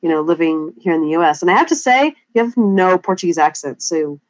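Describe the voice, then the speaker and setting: A woman co-host who asks a guest questions in a relaxed, chatty way. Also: lowish voice, fairly quick, no echo. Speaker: podcast co-host. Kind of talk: interviewing a guest. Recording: dull lo-fi sound.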